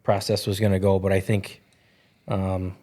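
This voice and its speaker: Low-voiced, plain-spoken man of forty. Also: level tone, normal speed, dark tone. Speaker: interview guest, local commercial leasing agent. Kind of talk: casual chat.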